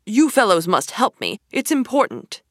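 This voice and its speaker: A woman voicing a boastful, excitable male toad in a storybook reading, gushing and showy. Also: medium pitch, normal speed, dry recording, studio quality, voice pushed up. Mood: excited boasting.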